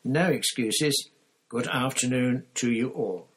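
A man reading aloud and voicing an elderly male headmaster wizard who speaks in a measured, gently dry way. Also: medium pitch, breathy edge, pitched above the performer's own voice. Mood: wry, kindly firm.

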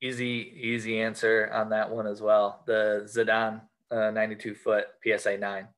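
An American man with a fairly low voice and little movement in pitch. He chats casually as a guest in an interview, circling back over his phrases in a halting way. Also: completely flat delivery, a bit bright. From United States. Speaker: podcast guest, sports card collector.

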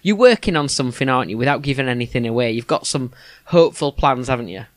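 A man's voice: mid-range voice, animated, fast talker, no room sound, clean studio sound. Yorkshire man hosting a casual podcast. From England. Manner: chatty, chuckling, with many restarts. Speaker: podcast host. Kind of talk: casual interview chat.

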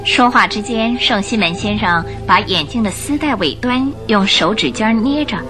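A woman giving expressive, theatrical storytelling narration for a radio drama. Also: a little high, moderate pace, over music.

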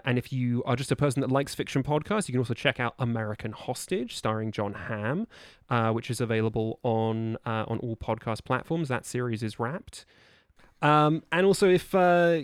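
A man talks as a guest on a podcast in a casual, conversational way. His voice sits at a middle pitch and is recorded very dry. He is wordy, with a drily playful manner. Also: smooth, no room sound.

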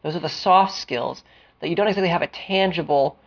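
A man hosting a solo career-advice podcast, smooth-voiced and deliberate, with a cold and a sniffle, explaining with frequent fillers. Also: on the high side, lo-fi sound. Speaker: podcast host.

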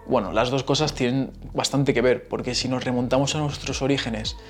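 A man's voice: mid-range voice, fairly even intonation, fairly quick. Chatty Madrid man with faint music. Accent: Madrid Spanish. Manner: chatty, explains with asides and tag questions. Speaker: podcast host. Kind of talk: casual solo podcast talk.

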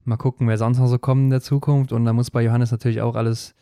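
Male co-host with a western German border accent, chatty, crisp voice, dry close sound. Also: mid-range voice, little pitch movement, fast talker, warm tone, no echo. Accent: German, western border region. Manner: chatty, often ends with a tag question. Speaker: podcast co-host. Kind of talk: casual sports chat.

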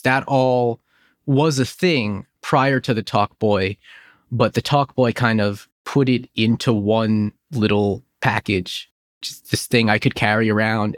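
A man's voice: fairly low pitch, dry recording. Dry-sounding man chatting nostalgically. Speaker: podcast host. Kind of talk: nostalgic casual chat. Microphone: close studio microphone in home office.

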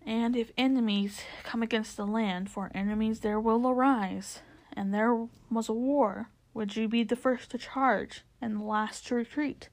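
A woman voicing a wise, regal male lion who speaks in solemn, formal, measured pronouncements for a storybook. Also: a little high, fairly even intonation. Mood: solemn, grave.

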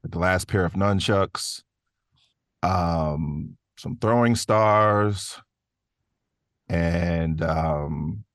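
Deep-voiced man in relaxed podcast chat. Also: very slow, pauses often, no echo, clean studio sound. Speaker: podcast guest, voice actor. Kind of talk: casual chat.

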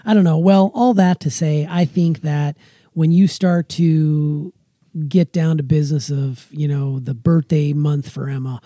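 Fast-talking parent co-host, woman, low husky voice, very dark tone. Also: steady tone, no room sound, studio quality. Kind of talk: casual family chat.

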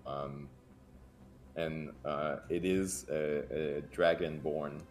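A man's voice: very deep voice, fairly even intonation, very slow, faint music behind. A man narrating a tabletop game as game master, hesitant with many fillers and restarts.

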